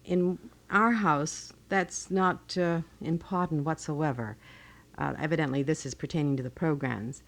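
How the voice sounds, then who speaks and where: A woman's voice: deep voice, unhurried. A woman co-hosting a radio show, reading listener letters aloud, on a 1980 local broadcast. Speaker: radio co-host. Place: radio studio.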